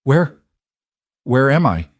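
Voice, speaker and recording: A man's voice: medium pitch, very animated, slow, dry recording, studio quality, pitched above the performer's own voice. A man voicing a frightened young woman with amnesia, talking in panicky, breathless pleas. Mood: scared.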